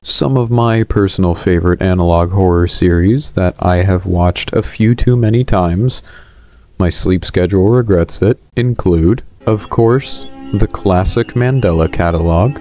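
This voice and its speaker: A man's voice: sits low, measured pace, mellow, faint music behind. A man in his twenties narrating a video essay in a conversational, explanatory way, recorded on a headset mic. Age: 20s. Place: small indoor room. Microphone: headset boom mic.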